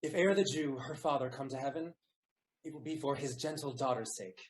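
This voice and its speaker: A man playing an ardent young gentleman lover and schemer in a Shakespearean audio play. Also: mid-range voice, fairly quick, slight background noise, close to an everyday voice, poor audio.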